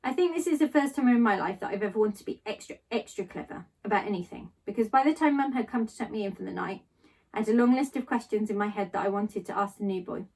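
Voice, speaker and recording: A woman teacher reading aloud to pupils in an expressive, storytelling manner. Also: medium pitch, quick pace, distant mic, poor audio. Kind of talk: expressive children's story narration.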